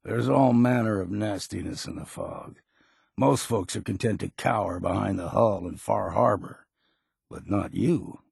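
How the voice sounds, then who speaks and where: An actor playing a gruff old hard-drinking hunter and guide in a video game, with a raspy voice and folksy, laconic talk. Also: male voice, on the low side, unhurried, darkish tone, dry recording, clean studio sound, exaggerated voice. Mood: folksy, plainspoken. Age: older. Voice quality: gravelly, raspy. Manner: folksy, dropped endings, laconic. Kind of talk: video game character acting.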